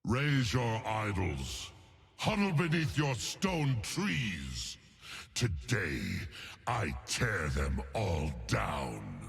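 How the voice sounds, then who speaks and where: An ancient, brooding bear god of thunder from a video game. The voice is low and full of gravel, swinging widely as it proclaims its wrath. It is grandiose and menacing. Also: male voice, sing-song, very slow, fairly bright tone, full character voice. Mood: proud, wrathful. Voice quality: gravelly, deep, raspy growl. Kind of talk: video game character voice lines.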